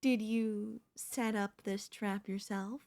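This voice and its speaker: Slow-talking, cocky zombie girl, acted by a woman. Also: mid-range voice, steady tone, a little mellow. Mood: amused, impressed. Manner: casual, playful, taunting, slangy, drawn-out delivery. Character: chatty, teasing, cocky female zombie hunting a human survivor. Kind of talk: comedic audio roleplay monologue to the listener.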